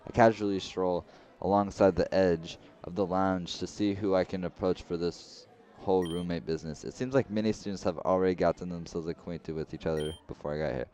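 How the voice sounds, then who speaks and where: Low-voiced young man reading a game. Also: fairly even intonation, a little fast, a little mellow. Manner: wry, joking asides. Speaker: gaming video creator. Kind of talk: reading a visual novel aloud with commentary. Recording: slightly dull lo-fi recording.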